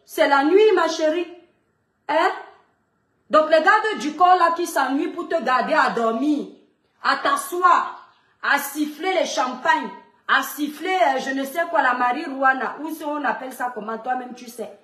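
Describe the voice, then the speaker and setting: High-voiced scolding Cameroonian woman. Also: measured pace, bright, forceful tone, roomy sound. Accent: Cameroonian French. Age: 30s. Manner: scolding, lecturing, rhetorical questions. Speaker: mother addressing a public figure online. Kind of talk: monologue video rant.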